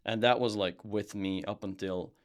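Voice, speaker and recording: A young man from Serbia, a podcast guest and brand founder, chatting casually in a relaxed, rambling way with lots of fillers and restarts. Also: on the low side, moderate pace. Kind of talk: casual interview chat.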